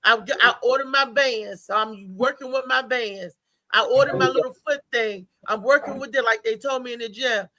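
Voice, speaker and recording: Casting: woman with a high, sharp bright voice, colloquial and plainspoken in loose conversation. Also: moderate pace, poor audio. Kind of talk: casual chat.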